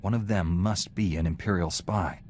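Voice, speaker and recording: A man playing a calm, earnest Jedi Knight in a video game, speaking in a measured, formal way. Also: low-pitched, background noise, close to an everyday voice. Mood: serious. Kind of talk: video game dialogue acting.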